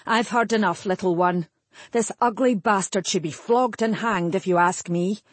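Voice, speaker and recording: A woman's voice: mid-range voice, moderate pace, dry recording, close to an everyday voice. A woman voicing an angry, scornful elven sorcerer in an audiobook, with a snarling, commanding manner. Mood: indignant. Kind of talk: audiobook character acting.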